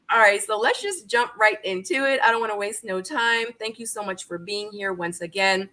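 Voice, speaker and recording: A woman's voice: medium pitch, animated, normal speed, sharp tone. A woman in her forties, born in Korea, hosting a casual online interview show, chatty and upbeat, talking straight to her viewers. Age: 40s. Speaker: YouTube interview host.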